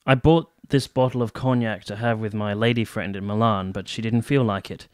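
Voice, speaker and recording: Man playing young military captain, low-pitched, courteous, measured, reserved, literary period radio drama. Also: steady tone, lightly acted. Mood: polite, guarded. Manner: formal, measured, restrained. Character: dashing young army captain, courteous, reserved, melancholy narrator. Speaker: actor and narrator in a radio play. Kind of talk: literary first-person narration and radio drama dialogue.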